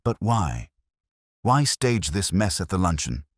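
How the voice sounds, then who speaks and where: A man's voice: low voice, sing-song, a little slow, dry recording, studio quality, voice pushed up, exaggerated voice. A man voicing an uneasy, plain-spoken police deputy with a troubled, questioning manner. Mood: troubled, puzzled.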